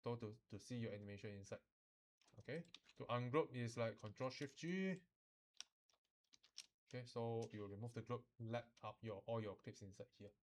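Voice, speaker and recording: Guy teaching software casually, instructional, keeps checking you get it, drops in lah. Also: medium pitch, expressive, normal speed, pauses often, a little hiss or hum. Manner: instructional, often checks understanding, uses particles like lah. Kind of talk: informal software tutorial mixing English and Mandarin.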